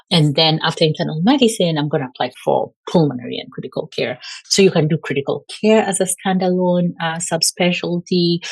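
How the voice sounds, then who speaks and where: A Kenyan woman with a low, husky voice talks in a chatty, easygoing way, full of filler words. The sound is dry and close, with no room around it. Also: a little mellow, no echo, poor audio. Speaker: guest physician. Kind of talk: conversational interview.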